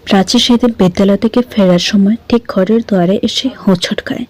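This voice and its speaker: A woman's voice: medium pitch, brisk, darkish tone. A woman reading a scripted short story as an audiobook narrator.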